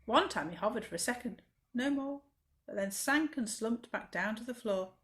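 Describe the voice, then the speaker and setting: High, very animated woman storyteller. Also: moderate pace. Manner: expressive, dramatic emphasis. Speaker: library storyteller. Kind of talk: expressive rhyming children's story narration.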